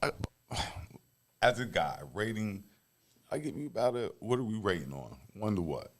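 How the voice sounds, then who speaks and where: Laid-back low-voiced male podcast host. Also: very animated, normal speed. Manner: laid-back, laughs often, drops voice low for effect. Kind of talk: casual chat.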